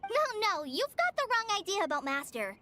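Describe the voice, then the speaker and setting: A woman's voice: extremely high pitch, sing-song, normal speed, pushed and bright, a little hiss or hum, full character voice. A woman voicing an earnest, kindly young forest ranger trainee in a video game, polite, eager and a little flustered. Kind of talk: video game anime-style voice acting. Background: game music.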